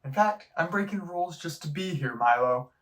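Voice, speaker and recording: A man's voice: fairly high pitch, expressive, normal speed, fairly bright tone. A man playing a concerned, frustrated doctor in an audio drama, speaking in an earnest, pressing way. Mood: earnest, worried.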